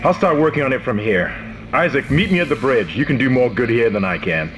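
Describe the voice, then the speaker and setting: A man's voice: mid-range voice, expressive, a little fast, fairly bright tone, a little hiss or hum. A man playing a stern, authoritative security officer in a science fiction video game, speaking tersely over comms. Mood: frustrated, urgent. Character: commanding, stern male security officer aboard a spaceship.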